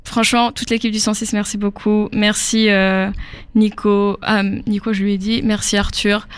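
A woman's voice: medium pitch, level tone, moderate pace, smooth. A young woman singer from Africa, answering interview questions casually with many fillers and easy laughs.

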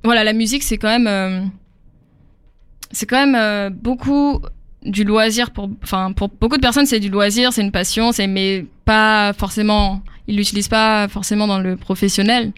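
A woman's voice: mid-range voice, fairly even intonation, moderate pace, smooth, no room sound. A young woman singer from Africa, answering interview questions casually with many fillers and easy laughs.

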